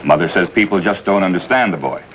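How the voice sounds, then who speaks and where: American juvenile cop, low voice, rapid, businesslike, exasperated, hissy vintage TV sound. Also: male voice, lively intonation, a bit bright, poor audio. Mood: weary, factual. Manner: brisk, factual. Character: businesslike, exasperated male juvenile police officer. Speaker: police juvenile officer. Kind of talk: 1950s police drama acting.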